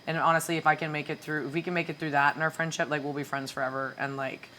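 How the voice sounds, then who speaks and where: A young woman from Canada with a naturally low voice, a singer chatting casually on a podcast, full of fillers and laughter. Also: little pitch movement, fast, pushed and bright, a little hiss or hum. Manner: chatty, laughs often, swears, many fillers. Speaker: guest singer.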